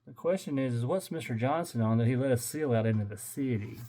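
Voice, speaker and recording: A man's voice: mid-range voice, quick pace, mellow. An older man reading a children's picture book aloud in a playful, theatrical way, stretching words and slipping in wry muttered asides. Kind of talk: animated children's read-aloud with joking asides.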